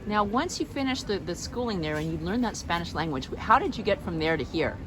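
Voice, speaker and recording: A woman's voice: medium pitch, big pitch swings, a little fast. A woman interviewing a farmer at a farmers market, talking with enthusiasm and telling stories. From San Diego, California. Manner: enthusiastic, storytelling. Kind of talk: on-location interview. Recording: outdoor street noise, traffic.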